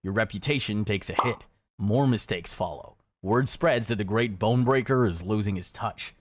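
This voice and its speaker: A man reading dramatic scripted second-person narration in a grave, measured storytelling manner. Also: on the low side, dry recording. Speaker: video narrator. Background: background music.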